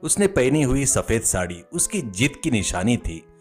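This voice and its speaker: A man's voice: medium pitch, sing-song, moderate pace. A man narrating a scripted comic moral story as its storyteller. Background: music.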